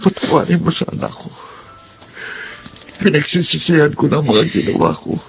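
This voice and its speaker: A man with a Filipino accent playing a contrite wrongdoer who pleads for forgiveness in a radio drama, his voice gravelly. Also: fairly high pitch, sing-song, moderate pace, background noise. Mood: remorseful, pleading. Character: remorseful man begging forgiveness from the woman he wronged.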